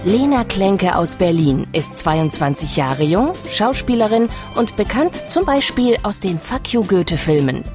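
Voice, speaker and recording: A woman reading a scripted introduction of a guest as a smooth, even voice-over. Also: medium pitch, a little slow, few pauses. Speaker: announcer. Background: music.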